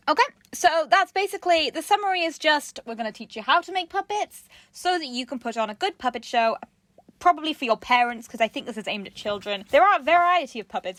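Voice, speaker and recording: A woman's voice: sits high, a bit bright, no room sound. A woman chatting casually and playfully as co-host of a craft show, giggly and enthusiastic. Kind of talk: casual comedic chat.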